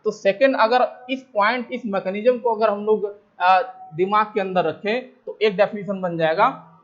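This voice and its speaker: Dull lo-fi recording, high-voiced man, Indian accent, lecturer, repetitive step-by-step explanation, self-answered questions. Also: fairly even intonation, unhurried. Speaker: civil engineering lecturer. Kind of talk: explanatory lecture mixing Hindi with English technical terms. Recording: dull, lo-fi microphone sound.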